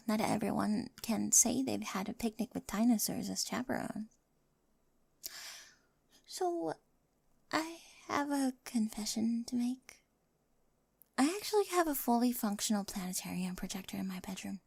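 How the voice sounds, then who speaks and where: Woman acting a quirky, creaky-voiced awkward teenage girl. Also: fairly high pitch, dry recording, full character voice. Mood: hopeful, nervous. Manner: awkward, eager, rambling, soft giggles and whispery asides. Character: quirky, nerdy, awkward high school girl with a crush. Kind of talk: ASMR roleplay acting. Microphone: close microphone, dry.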